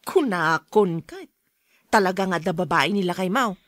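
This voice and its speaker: A woman's voice: lowish voice, normal speed, dry recording, studio quality, close to an everyday voice. A woman acting in an Ilocano radio drama as a gossipy, meddling friend who poses as concerned, with an Ilocano Filipino accent. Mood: prying, insinuating. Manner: prying, insinuating.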